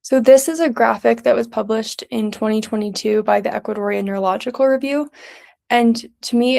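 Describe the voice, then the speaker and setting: A young woman from New York City presents her summer project at an even, mid-range pitch. Her voice carries a gravelly, fry-tinged edge as she moves methodically through prepared notes, clearly and in order. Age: young adult. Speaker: student intern presenter.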